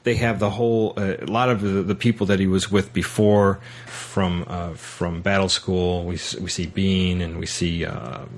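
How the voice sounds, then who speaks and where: A man hosting a podcast, talking casually about a film and haltingly repeating words as he recaps. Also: low-pitched, little pitch movement. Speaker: podcast host.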